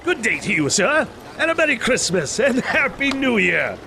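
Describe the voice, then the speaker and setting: A man's voice: very high-pitched, very animated, normal speed, vocal fry, sharp tone, a little hiss or hum, heavily acted. A man playing an elderly reformed miser in an audio drama, theatrical, exclamatory and giddy with joy. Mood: excited, delighted. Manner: theatrical, exclamatory, bursting into laughter.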